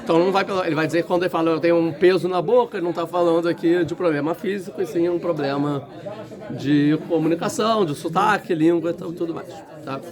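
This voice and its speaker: A man's voice: on the high side, fast. A man teaching a casual Torah lesson to a class, thinking aloud, restarting sentences and putting questions to the students. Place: large indoor room. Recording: room with crowd noise. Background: students in the room.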